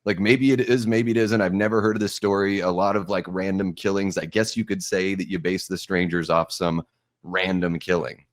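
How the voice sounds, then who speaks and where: Male podcast co-host with a deep voice, blunt and informal, recorded dry. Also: flat delivery, moderate pace, no room sound. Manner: blunt, opinionated. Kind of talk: casual chat with swearing.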